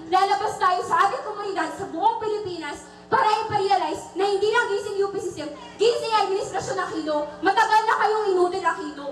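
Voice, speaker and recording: A young woman with a Filipino accent giving an impassioned protest speech as a student council speaker. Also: very high voice. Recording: echoey, far from microphone.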